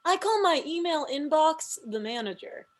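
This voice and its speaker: A brash, meddling female comedian of thirty , played by a woman at a table read. Her voice is fairly high, and the comic delivery is breezy and cheeky. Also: expressive, moderate pace. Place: video call.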